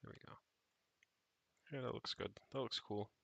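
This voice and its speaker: A man giving casual think-aloud commentary as he codes, muttering to himself in a halting way. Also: mid-range voice, steady tone, vocal fry, a bit bright, no echo, lo-fi sound. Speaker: programmer streaming own project.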